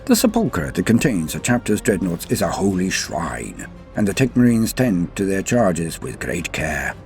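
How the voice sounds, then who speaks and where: A man's voice: low voice, huge pitch swings, moderate pace, a little mellow, faint music behind. A man hosting a lore show, delivering scripted narration in a theatrical, formal and florid manner. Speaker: host of a Warhammer lore show.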